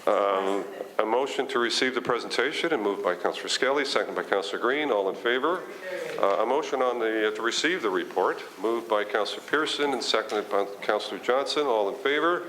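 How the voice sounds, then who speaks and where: A man's voice: on the low side, a little fast, a crowd in the background, low-quality recording. A man chairing a formal meeting as mayor, courteous and hesitant in his phrasing. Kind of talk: formal meeting procedure, informal asides.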